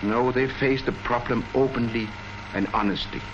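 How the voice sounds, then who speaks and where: An actor playing an earnest army colonel who presents public health facts in a declamatory, rhetorical way, in the style of a 1940s education film. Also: male voice, mid-range voice, fairly even intonation, normal speed, lightly acted. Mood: earnest, explanatory. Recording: muffled, noisy 1940s film soundtrack.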